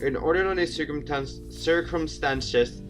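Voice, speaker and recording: A man's voice: a little high, measured pace, soft background music. A man hosting a gaming video, reading a visual novel aloud with theatrical flair and casual asides, stumbling over words. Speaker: video game let's player, host.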